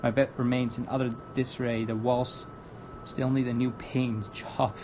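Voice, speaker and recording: Male streamer, dramatic visual novel read-aloud, halting delivery, medium pitch, noisy recording with rumble. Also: level tone. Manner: halting, stumbling over written words. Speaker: streamer, narrator. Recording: noisy room with low rumble.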